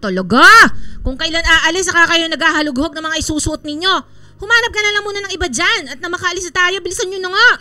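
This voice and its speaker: High-voiced nagging Filipino mother. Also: fast, sharp tone, no room sound. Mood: scolding, impatient. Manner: scolding, exasperated, bossy. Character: nagging, two-faced middle-aged mother, sharp-tongued at home. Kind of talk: Filipino radio drama acting.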